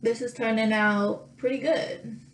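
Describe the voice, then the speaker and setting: A young woman with a slightly raspy voice chatting casually through a makeup tutorial, thinking aloud and pausing as she works. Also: fairly high pitch, fairly even intonation. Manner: thinking aloud, drawn-out pauses while applying makeup. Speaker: makeup vlogger.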